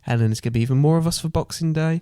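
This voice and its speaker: A man's voice: on the high side, very fast, dark tone, clean tone, no echo, studio quality. A man co-hosting a podcast in casual chat. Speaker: podcast co-host.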